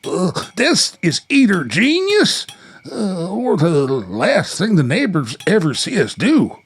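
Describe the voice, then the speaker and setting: A man's voice: high-pitched, huge pitch swings, takes it slowly, slightly rough, heavily acted. A man playing an anxious, exasperated young grandson in a radio play, hesitant, stammering and dramatic. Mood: worried.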